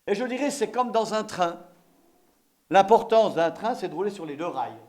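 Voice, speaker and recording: Male preacher from eastern France, fairly high voice, exhorting sermon delivery with repeated key phrases. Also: lively intonation, normal speed, darkish tone. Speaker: visiting evangelical pastor preaching.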